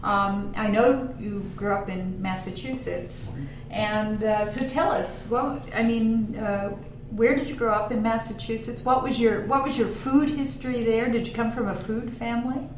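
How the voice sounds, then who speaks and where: A woman hosting a cooking interview show, enthusiastic and a little halting with fillers as she asks questions. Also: on the high side, reverberant, a little hiss or hum, low-quality recording. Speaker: host. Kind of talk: interview host.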